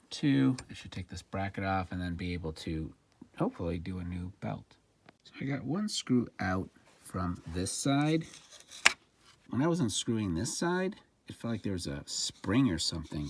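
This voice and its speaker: Low-voiced man narrating a hands-on demo. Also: slight background noise. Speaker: repair demonstrator and narrator. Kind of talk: casual hands-on demonstration narration.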